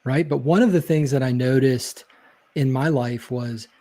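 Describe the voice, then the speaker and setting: Man, guest, reflective, hedging, warm mellow tone. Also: mid-range voice, moderate pace. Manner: reflective storytelling, many fillers and hedges. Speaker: guest, leadership speaker. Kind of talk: casual interview chat.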